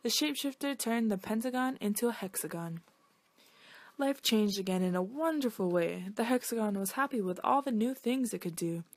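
A woman's voice: fairly high pitch, lively intonation, moderate pace, a little mellow, no echo. Dry, close woman reading a storybook. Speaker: reader of a children's picture book. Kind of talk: expressive storybook read-aloud.

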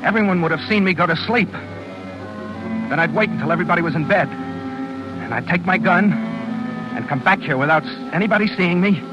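A man's voice: a little high, lively intonation, moderate pace, long pauses, over music, close to an everyday voice. A man playing an obsessive, jilted boyfriend in a 1940s radio drama, intense and emotional, wheedling and menacing. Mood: brooding confession. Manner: intense, emotional, shifts from soft coaxing to bullying. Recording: muffled 1940s radio broadcast recording.

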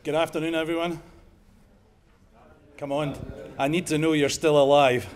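A middle-aged Scottish man lecturing at a conference in a fairly high voice. He talks in a wry, conversational way and slips jokes into his points. A lapel mic picks him up in a lecture room. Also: little pitch movement, moderate pace, background noise. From Scotland. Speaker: emergency medicine professor giving a lecture. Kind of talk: conference talk.

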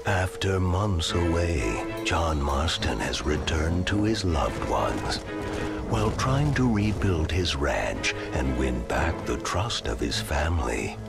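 An actor narrating a Western game as a solemn, ominous American storyteller, portentous in delivery. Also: male voice, rumbling low, sing-song, gravelly, full character voice. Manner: slow, portentous. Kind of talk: dramatic scripted narration. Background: loud music.

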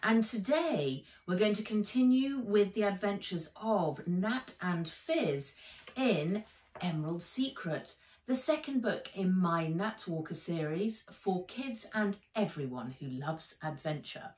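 A middle-aged woman author reading her children's story aloud, deliberate and dramatic, recorded in a room at home. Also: medium pitch, lively intonation, a little mellow, recorded from a distance. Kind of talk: expressive children's story narration. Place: indoors, living room or garden room at home. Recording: roomy, echoing home recording.

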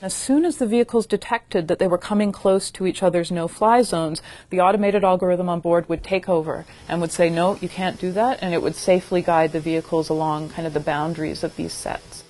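A woman's voice: fairly low pitch, fairly even intonation, brisk, hardly any pauses, mellow. A middle-aged woman, an engineering professor, explaining her work in interview answers. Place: indoor meeting room.

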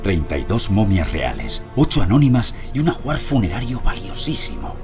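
Deep, solemn archaeologist. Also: male voice, sing-song, moderate pace, gravelly, music in the background. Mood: awed, reflective. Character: German archaeologist, earnest, awed, recalling a great discovery. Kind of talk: audio drama acting with reflective narration.

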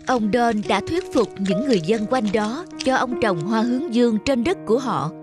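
A woman narrates a children's cartoon with calm, even delivery. Her voice is high, and lively music plays clearly beneath her. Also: normal speed. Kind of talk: scripted narration.

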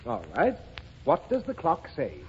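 A man's voice: on the high side, huge pitch swings, unhurried. A man playing an exasperated, easily flustered father in an old radio comedy, explaining things fussily. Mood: strained patience. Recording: muffled, very noisy old radio recording.

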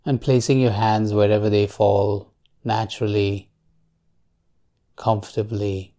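A man leading a guided meditation in a calm, measured way, leaving long pauses between short phrases. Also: fairly low pitch.

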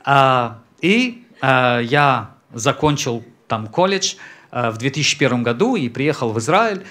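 A man in his thirties from Central Asia, a native Tajik speaker, giving a personal testimony to a congregation on a church stage into a handheld microphone, unhurried, with hesitations and throat clearing. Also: mid-range voice, very animated, fairly bright tone. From Samarkand, Central Asia. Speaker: messianic rabbi, guest speaker.

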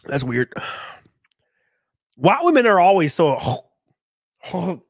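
A man in his thirties hosting a casual live stream from a small home room, close on a boom mic, joking crudely and sounding congested from a cold. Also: big pitch swings, drawn-out words, breathy edge, dry recording. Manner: crude, joking, laughs often. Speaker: livestream host. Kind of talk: casual live-stream chat reading donations. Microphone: studio mic on boom arm, close.

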